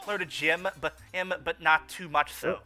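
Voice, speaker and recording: A man giving casual, excitable commentary on a cartoon, halting and repeating his words. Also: a little high, soft background music. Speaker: co-host of a cartoon riffing show.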